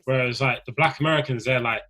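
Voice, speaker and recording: A man's voice: mid-range voice, steady tone, fast talker, pushed and bright. Young man making a point. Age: young adult. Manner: earnest, builds his point with many fillers. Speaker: guest. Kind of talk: casual chat.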